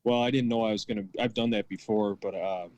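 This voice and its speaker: A man with a fairly deep voice dials into a podcast. He chats casually, with faint noise on the connection. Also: fairly even intonation, fast talker, a little mellow. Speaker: podcast regular dialing in as a caller. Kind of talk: casual chat. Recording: noisy call-in connection.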